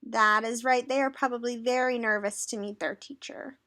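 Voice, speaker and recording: A woman's voice: a little high, fairly even intonation, moderate pace, sharp tone. A woman leading an interactive children's read-aloud, instructional, putting questions to young listeners. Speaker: teacher or tutor reading aloud.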